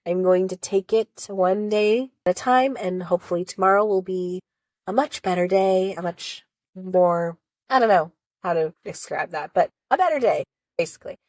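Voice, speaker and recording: A woman's voice: fairly low pitch, moderate pace, darkish tone, dry recording. A woman with a cold, casually recapping a reality show episode in a rambling, chatty way and signing off with encouragement. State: sniffly with a cold. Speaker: reality show reaction vlogger.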